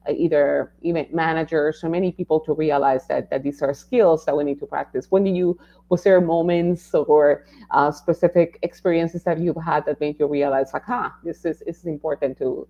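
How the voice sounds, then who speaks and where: A woman's voice: low-pitched, fairly even intonation, fairly quick, warm tone, dull audio. A woman hosting a live podcast interview, talking in a loose conversational way with many fillers. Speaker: podcast host.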